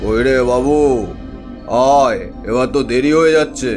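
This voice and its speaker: A man's voice: mid-range voice, moderate pace, a little mellow, faint music behind, close to an everyday voice. An actor playing a practical, caring middle-aged father in a cartoon drama. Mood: brisk.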